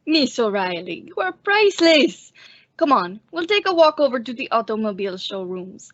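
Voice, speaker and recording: Old immigrant professor, played by a woman, high-pitched, teasing and courtly, radio comedy, dry lo-fi sound. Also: moderate pace, no echo. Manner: mock-flattering, drops teasing insults. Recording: dull lo-fi recording.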